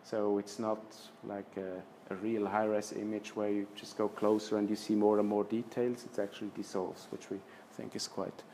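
A man in his fifties presenting slides in a lecture room, speaking with many restarts and fillers, trailing off softly at the ends of phrases. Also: on the low side, level tone, moderate pace, hardly any pauses, a little hiss or hum. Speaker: architecture lecturer. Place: lecture room with projector screen.

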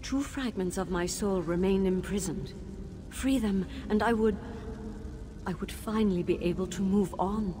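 An actress voicing the ghost of a tormented woman teacher in a video game, speaking in a measured, grave and sorrowful way. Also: mid-range voice, lightly acted. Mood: grateful. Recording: game audio with steady background noise.

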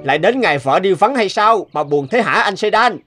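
A nosy male villager from an animated folktale. He talks in a gossipy, needling way, full of insinuation. Music is clearly heard behind him. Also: high-pitched, fairly quick, exaggerated voice. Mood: insinuating.

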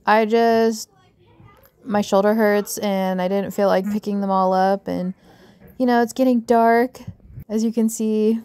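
A young woman chatting casually as she shows off pet snakes outdoors on a backyard deck, speaking in an unhurried, affectionate way into a handheld phone camera. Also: mid-range voice, fairly even intonation, a little mellow, clean tone. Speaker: vlogger showing pet snakes. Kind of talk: casual show-and-tell narration.

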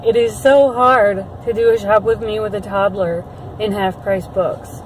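A young woman vlogger talking casually to her viewers into a phone camera held at arm's length, with plenty of ums and quick asides. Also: medium pitch, fairly even intonation, a little hiss or hum, poor audio. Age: young adult. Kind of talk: casual vlog chat. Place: car and bookstore, later a home room at a webcam. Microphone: phone camera at arm's length, later a webcam.

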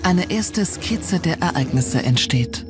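A woman's voice: fairly low pitch, expressive, slow pace. A woman reading scripted true crime documentary narration as the narrator. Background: prominent music.

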